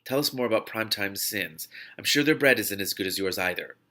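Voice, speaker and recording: A male investigator from a novel, read aloud by a man, talks in a friendly but probing way. He is persuasive, with a fairly low voice and a bright, forceful edge. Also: lively intonation, a little fast. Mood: coaxing. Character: probing, coaxing male investigator at his desk.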